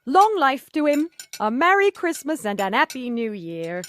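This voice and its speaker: A woman's voice: high-pitched, big pitch swings, normal speed, slight background noise. A woman playing a motherly, outspoken wife of a poor household in a theatrical radio play. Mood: grudging. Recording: faint dish clinking behind voice.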